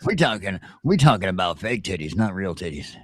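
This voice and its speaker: A man hosting a casual morning talk show, rambling haltingly and sounding groggy, with a crude joking streak. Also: low voice, very animated.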